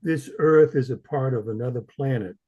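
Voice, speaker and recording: A man's voice: medium pitch, moderate pace, breathy edge, dull audio. A man speaking as a guest in a casual conversation, explaining his views earnestly and emphatically.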